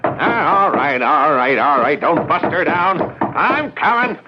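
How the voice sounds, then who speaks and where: High-pitched, crotchety old man. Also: expressive, very noisy. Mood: irritated, grumbling. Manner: sneering, muttering, drawn-out rustic taunts. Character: crotchety, mean old man, gruff and scornful. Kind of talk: old-time radio mystery acting. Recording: dull lo-fi radio drama recording.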